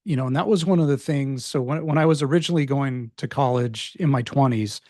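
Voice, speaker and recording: A man's voice: mid-range voice, a little fast, a little mellow, no room sound. Male host, 40s, from LA; easygoing, affirming; dry, close recording. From Los Angeles. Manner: affirming, conversational with fillers. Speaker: interview host. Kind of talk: casual interview conversation.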